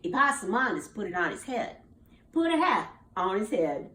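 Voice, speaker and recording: A woman telling a children's story aloud in an animated, rhythmic way, with repeated phrases and dramatic pauses. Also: medium pitch. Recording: echoey room, distant from mic.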